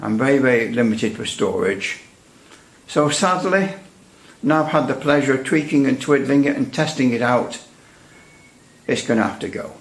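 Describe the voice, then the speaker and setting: Male hobbyist presenter, medium-pitched voice, casual demo style, halting, echoey room. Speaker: radio repair hobbyist presenter. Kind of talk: casual hands-on equipment demonstration.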